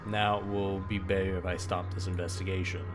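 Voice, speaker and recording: Low-voiced man casually playing through a game. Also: flat delivery, fairly quick. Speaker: video game streamer. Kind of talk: casual let's play, reading game text aloud with asides. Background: music.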